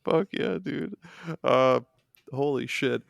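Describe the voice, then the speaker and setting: A man's voice: mid-range voice, slow, vocal fry, no echo, highs cut off. A man on a gaming podcast, rambling casually with lots of fillers and swearing. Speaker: podcast guest.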